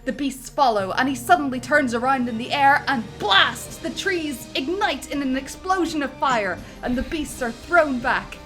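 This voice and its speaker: Lady narrator doing a dramatic script read, high n bright voice, theatrical, bit of music behind. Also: animated, normal speed, few pauses. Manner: theatrical, breaks easily into giggles. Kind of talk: lively comedic dramatic narration of a film script.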